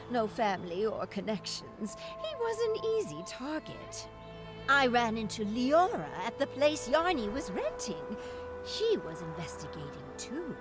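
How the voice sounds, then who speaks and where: A woman voicing an elegant, sentimental former actress in video game story dialogue, with a dramatic, lilting storytelling manner. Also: fairly high pitch. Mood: grave, explaining. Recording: background music under the voice.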